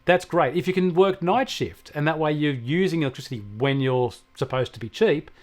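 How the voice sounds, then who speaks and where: Higher-voiced Australian man explaining tech. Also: fairly quick. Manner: opinionated, plain-spoken. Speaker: technology show co-host and expert. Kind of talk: casual explanatory tech talk.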